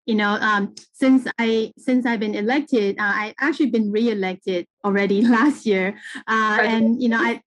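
Higher-pitched middle-aged woman from Taiwan, halting. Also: fairly even intonation, dry recording, poor audio. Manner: halting, conversational, punctuated with "you know". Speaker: political candidate, interview guest. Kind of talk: casual campaign interview talk.

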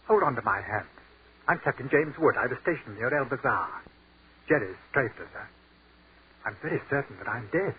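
An actor playing an earnest army captain who has died, speaking urgently in 1940s radio-drama style. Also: male voice, medium pitch, animated, normal speed, pauses often, natural voice. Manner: urgent and stammering, then rapturous. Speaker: lead actor. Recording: tinny old radio broadcast with hiss.

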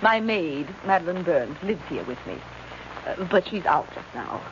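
A woman's voice: on the low side, big pitch swings, normal speed. A woman playing a genteel, fluttery older lady with a hesitant, polite manner in 1940s radio acting style. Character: genteel, fluttery older lady living alone, former concert performer. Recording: muffled, hissy old-time radio recording.

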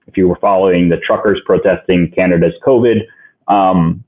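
A man from Ukraine whose first language is Russian, a podcast guest answering in a halting way that thinks aloud with many restarts, heard over a phone-line recording. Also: deep voice, fairly even intonation. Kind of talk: casual interview answers. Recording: tinny phone-line sound.

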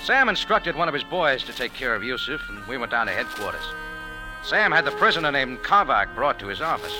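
A man's voice: mid-range voice, sing-song, brisk, close to an everyday voice, rough recording. A male actor playing a sardonic, suspicious American cafe owner with clipped delivery in a 1940s radio drama. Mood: wry narrating. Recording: old radio recording with music.

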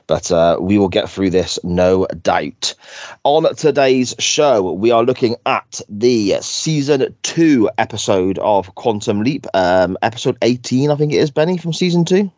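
A man hosting a podcast in casual chat, croaky from a sore throat, rambling and correcting himself as he goes. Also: lowish voice, animated, measured pace, a little mellow, dry recording. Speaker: podcast host.

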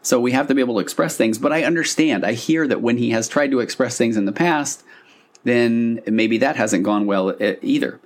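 A man's voice: mid-range voice, brisk. A man talking informally and animatedly as a podcast host, explaining things like a couples therapist into a microphone. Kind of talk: conversational solo podcast explainer. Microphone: podcast microphone on a stand.

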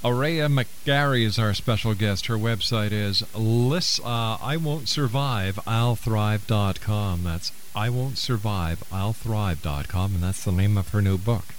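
Man, radio host, very deep, folksy, light hiss. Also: unhurried, hardly any pauses. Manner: folksy, encouraging. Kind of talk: radio interview.

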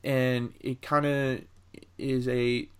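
A man co-hosting a casual entertainment news podcast, speaking in a measured, halting way with pauses between phrases. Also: mid-range voice, little pitch movement, a bit bright, clean tone. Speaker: podcast co-host.

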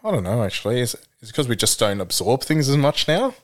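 A man's voice: medium pitch, fast talker. Young Australian man chatting casually. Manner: relaxed storytelling with many fillers. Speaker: podcast guest. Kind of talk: casual podcast chat.

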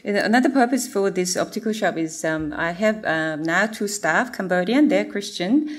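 A woman presenting her mission work, speaking earnestly with many pauses and fillers. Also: mid-range voice, lively intonation.

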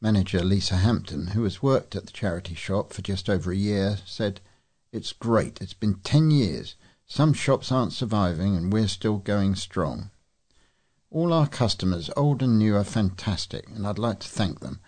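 A man's voice: lowish voice, expressive, moderate pace, darkish tone. A man reading scripted local news as a volunteer newsreader.

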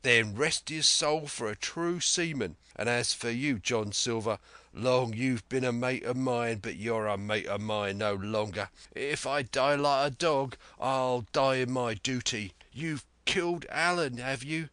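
Man doing an honest young sailor, hoarse shaky voice, earnest, pitched up a bit. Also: animated, slow, a bit bright, no echo. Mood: defiant. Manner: earnest, pleading then defiant. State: hoarse, shaking voice.

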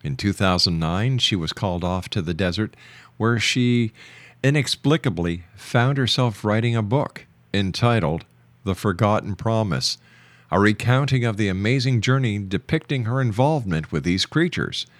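A man's voice: fairly low pitch, expressive, moderate pace, no echo. A man speaking as a radio show host, introducing and interviewing in a broadcast style.

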